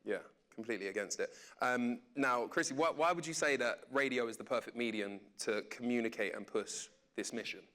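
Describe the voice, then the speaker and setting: A man's voice: mid-range voice, fairly quick, a bit bright. A man, a radio presenter, speaking informally while moderating a panel. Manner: informal, conversational. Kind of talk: casual panel chat.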